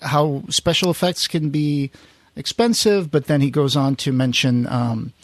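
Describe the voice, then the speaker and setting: Slightly high-voiced man hosting a podcast, close and dry. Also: measured pace, dry recording. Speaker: podcast host. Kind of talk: casual conversation.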